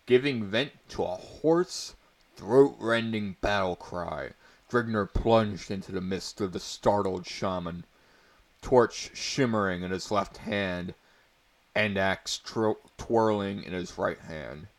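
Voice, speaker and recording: A man's voice: lowish voice, slow. A man narrating a story aloud in a portentous, mock-dramatic way, with amused asides. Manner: portentous, breaking into amused commentary.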